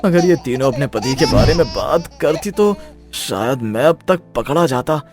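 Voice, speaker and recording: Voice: mid-range voice, animated, normal speed, music in the background, lightly acted. Someone voicing a sly young husband of three wives. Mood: worried. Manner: sneaky, talks his way out of trouble. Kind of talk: cartoon voice acting.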